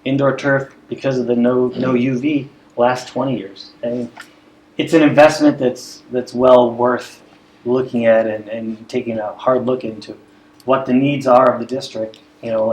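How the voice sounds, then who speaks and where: A man's voice: mid-range voice, level tone, moderate pace, darkish tone. Earnest man talking in a roomy room. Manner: earnest, repeats words as he builds points. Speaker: school athletics staff member. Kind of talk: speaking up at a committee meeting. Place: meeting room. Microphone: speaking without a microphone. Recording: roomy meeting room, faint background hum.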